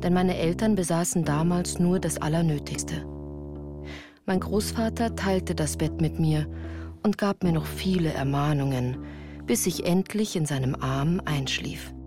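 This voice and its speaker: Radio play narrator: composed woman remembering hard early years, slightly low voice, music behind. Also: measured pace. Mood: measured, reflective. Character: grown woman recounting her harsh Bavarian childhood. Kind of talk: literary first-person radio play narration.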